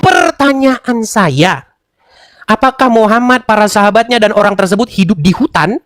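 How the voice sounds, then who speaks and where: A man's voice: sits high, lively intonation, normal speed, over music. A man arguing in an online debate, mocking and pressing with rhetorical questions. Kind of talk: heated debate and commentary. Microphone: online voice room.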